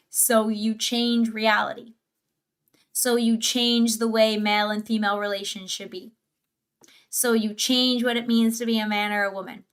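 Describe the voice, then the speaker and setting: A woman's voice: fairly high pitch, pushed and bright. A woman hosting a livestream, giving casual, opinionated reaction commentary in an emphatic way with rhetorical questions. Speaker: livestream host.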